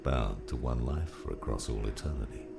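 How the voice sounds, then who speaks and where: An actor playing a gruff, fatherly old mentor, weary and wise, in a slow audiobook drama performance with a gravelly voice. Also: a bass voice. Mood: solemn. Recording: music behind the voice.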